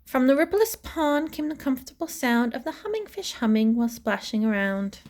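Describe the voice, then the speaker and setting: A woman reading a rhyming children's story aloud with expressive, dramatic phrasing. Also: high voice, fairly quick. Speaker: storybook reader.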